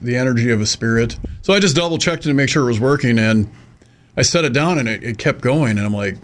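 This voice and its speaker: A man with a medium-pitched voice talks as a guest investigator. He recounts his experiences casually, like someone chatting in an interview. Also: quick pace. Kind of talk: casual anecdote telling.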